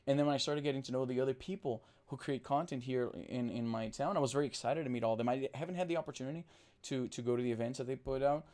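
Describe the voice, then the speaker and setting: Brazilian guy reading chat on stream, portuguese first language, rambly and a bit slowed down, lots of uh and restarts. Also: mid-range voice, steady tone, darkish tone. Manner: rambling, frequent restarts and fillers; trained to talk slower on camera. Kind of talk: casual livestream chat.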